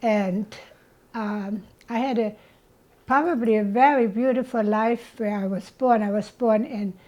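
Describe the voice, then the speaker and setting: A woman, telling her childhood story as an interviewee in a slow, reflective way. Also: a little high, a little mellow. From Germany. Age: 80s. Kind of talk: reminiscing about childhood.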